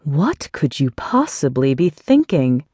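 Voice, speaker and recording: A woman voicing a haughty, domineering older aunt who speaks slowly with scorn. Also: mid-range voice, big pitch swings, dark tone, no room sound, studio quality, pitched above the performer's own voice. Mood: disdainful. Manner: slow, disdainful, seething.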